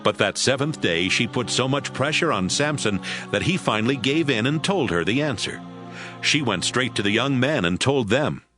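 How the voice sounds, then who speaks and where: A man's voice: mid-range voice, expressive, moderate pace, sharp tone, faint music behind. A man giving dramatic scripted narration for a Bible audio drama, as storyteller.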